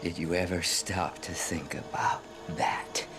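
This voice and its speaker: Slow, fervent, wounded cult zealot. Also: male voice, low voice, fairly even intonation. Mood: brooding, insistent. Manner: slow, impassioned, preaching delivery. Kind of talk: dramatic video game voice acting. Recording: loud music under the voice.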